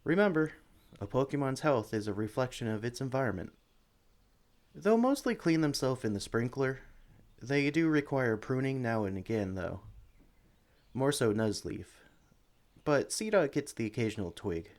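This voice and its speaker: A man playing a friendly, caring animal sanctuary worker in an ASMR roleplay, talking gently and encouragingly to a newcomer. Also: mid-range voice, lively intonation, moderate pace, close to an everyday voice. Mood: upbeat, encouraging. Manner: gentle, encouraging, chatty, coos to creatures. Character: friendly, caring experienced male Pokemon sanctuary worker showing newcomer around. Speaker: voice actor in audio roleplay. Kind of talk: ASMR roleplay, acted one-sided conversation.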